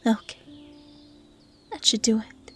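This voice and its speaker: A woman voicing a gentle, curious young dryad who tends the forest, speaking in a soft, breathy near-whisper in an intimate ASMR roleplay style. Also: slow pace, faint music behind, close to an everyday voice. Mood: tender, caring. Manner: hushed, breathy, caring delivery, often near whispering.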